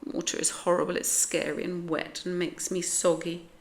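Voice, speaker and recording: A woman's voice: lowish voice, little pitch movement, normal speed, lightly acted. A British woman voicing an anxious, plaintive young boy cat who grumbles in a storybook. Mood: gloomy.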